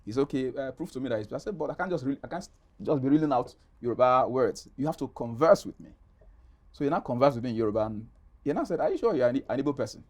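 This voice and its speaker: A man's voice: mid-range voice, fast, a little mellow. Middle aged nigerian guy in an interview, emphatic storyteller, repeats himself a bit. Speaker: interview guest, actor. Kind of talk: animated personal storytelling in conversation.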